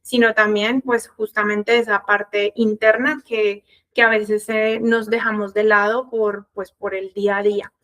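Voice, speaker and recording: A woman's voice: mid-range voice, little pitch movement, measured pace, hardly any pauses, a bit bright. A woman in her thirties with a Colombian Spanish accent, presenting an online workshop conversationally as a sales coach.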